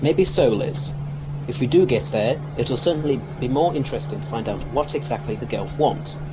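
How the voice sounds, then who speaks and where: A man playing a brisk, urbane scientist adventurer in an audio drama, with a gentlemanly, authoritative manner. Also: mid-range voice, expressive, music in the background, natural voice, low-quality recording. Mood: calm, thoughtful. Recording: loud storm and engine noise.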